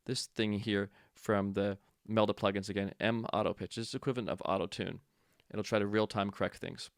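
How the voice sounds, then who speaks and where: A man's voice: lowish voice, steady tone, fairly quick, gravelly, smooth, no room sound, clean studio sound. A man teaching audio production in a casual tutorial, explaining step by step and thinking aloud as he goes.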